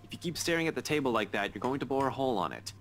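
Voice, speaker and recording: A man's voice: medium pitch, very animated, rapid-fire. An actor playing a teasing, slightly awkward young man in a visual novel game, with casual, playful delivery. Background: music.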